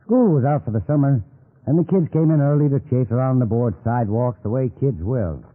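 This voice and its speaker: An actor playing a folksy small-town storyteller, narrating a scripted radio story. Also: male voice, medium pitch, lively intonation, brisk, natural voice. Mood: calm, descriptive. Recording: tinny old radio broadcast, faint music.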